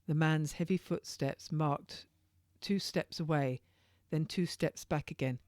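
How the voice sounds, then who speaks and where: A woman narrates a historical novel in the first person. Her voice sits fairly low, and she reads informally like an author sharing her own work, with the odd stumble. The sound is close and dry. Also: unhurried, darkish tone, no room sound. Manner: informal read-aloud with occasional stumbles.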